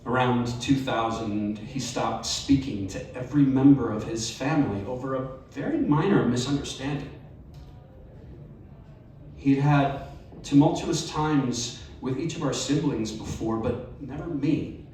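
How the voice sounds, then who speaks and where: A man's voice: mid-range voice, slight background noise. Man reading a story in an echoey room. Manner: measured, expressive storytelling with wry emphasis. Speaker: story reader. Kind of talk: live reading of a personal essay. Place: live event venue. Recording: very echoey live room, distant mic.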